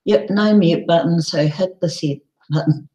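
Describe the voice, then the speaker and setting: A woman with a croaky, hoarse voice, hosting a casual live broadcast and rambling with many ums. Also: lowish voice, a little slow, dark tone, echoey room, muffled recording. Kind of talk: casual live-stream chat.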